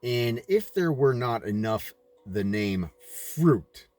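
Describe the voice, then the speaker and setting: A man reading a religious book aloud in deliberate, emphatic phrases, breaking into informal commentary as he goes. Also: medium pitch, lively intonation, darkish tone. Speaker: reader of a religious book, adding asides.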